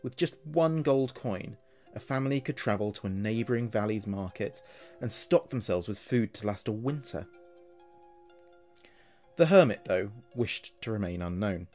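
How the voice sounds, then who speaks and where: Low-voiced man narrating horror stories over music. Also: animated, moderate pace, a little mellow. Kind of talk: scripted horror storytelling narration.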